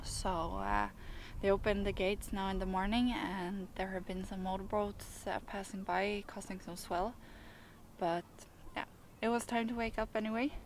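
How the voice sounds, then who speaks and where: A young woman talking casually to camera outdoors on a sailboat, with halting, hesitant phrasing. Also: mid-range voice, steady tone, a little hiss or hum. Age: young adult. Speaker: sailing vlogger. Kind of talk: casual vlog talk to camera.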